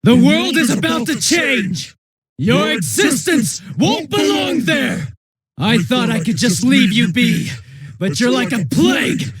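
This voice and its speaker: An American man playing a cynical, bitter young villain in a video game, with a husky voice and a flippant, contemptuous manner. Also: extremely high pitch, a bit bright, dry recording, clean studio sound, exaggerated voice. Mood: enraged, unhinged. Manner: flippant, mocking, contemptuous drawl. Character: cynical, bitter, sneering young male villain turning unhinged.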